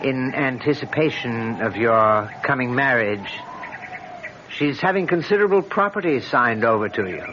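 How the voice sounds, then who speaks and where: An actor playing a wry, urbane friend and rival, speaking in a measured, ironic and insinuating way in a radio drama. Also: male voice, mid-range voice, lively intonation, a bit bright, natural voice. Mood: dry. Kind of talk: old-time radio drama acting. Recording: muffled old radio recording, noticeable hiss.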